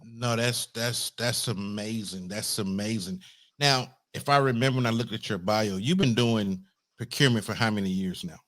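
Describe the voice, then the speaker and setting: Bright-voiced American man hosting an interview. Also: fairly low pitch, normal speed. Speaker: host. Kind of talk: casual interview introduction.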